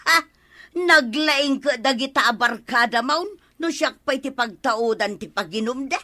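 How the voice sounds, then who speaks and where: A woman playing a sharp-tongued working wife in a comic radio drama, nagging her husband with quick retorts. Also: sits high. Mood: scolding.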